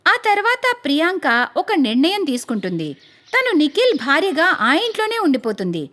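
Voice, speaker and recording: A woman narrating a cartoon moral story in a lively storytelling manner. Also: sits high, brisk.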